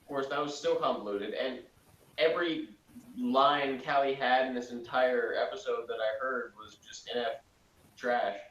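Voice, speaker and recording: A man's voice: mid-range voice, normal speed, a bit bright, highs cut off. A man giving casual critical commentary as a panelist, blunt and dismissive in his manner. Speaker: podcast panelist. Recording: echoey room, far from mic.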